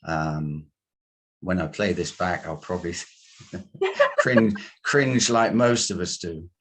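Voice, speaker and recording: A man, a coach being interviewed, explaining his work in deliberate, halting phrases. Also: low voice, sing-song, breathy edge, rough recording. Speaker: guest, coach and hypnotherapist.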